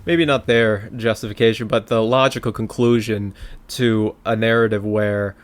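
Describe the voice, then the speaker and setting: A man's voice: lowish voice, steady tone, normal speed. A man co-hosting a political talk podcast, speaking informally and conversationally with hesitant starts.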